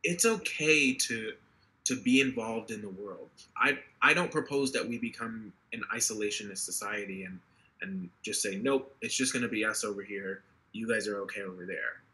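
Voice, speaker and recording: A man's voice: lowish voice, normal speed, bright, forceful tone, rough recording. A man in his twenties, an earnest political candidate speaking passionately on a video call, often restarting his sentences. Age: 20s. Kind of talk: interview over video call.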